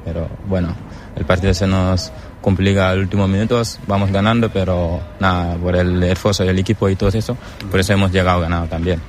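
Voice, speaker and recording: A man's voice: deep voice, steady tone, a little fast. A young man from Senegal, a footballer in a casual interview, speaking hesitantly and searching for words. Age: young adult. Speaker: footballer guest.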